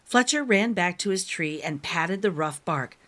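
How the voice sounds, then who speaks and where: A woman narrating a children's picture book in an expressive, careful storytelling manner. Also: on the low side, unhurried. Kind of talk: expressive storybook read-aloud.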